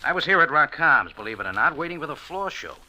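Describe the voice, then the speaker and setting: An actor playing a tough, flippant American cafe owner who narrates an old-time radio mystery in terse hard-boiled style. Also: male voice, fairly high pitch, sing-song, fast, pushed and bright, slight background noise, exaggerated voice, rough recording. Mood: cagey.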